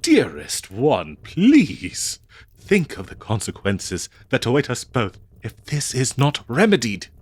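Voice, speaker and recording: A man playing a prim, devoted, fretful 19th-century husband in a comedic audio roleplay, speaking in florid, halting, theatrical old-fashioned phrases. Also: medium pitch, wildly swooping pitch, slightly rough, exaggerated voice. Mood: troubled, hesitant.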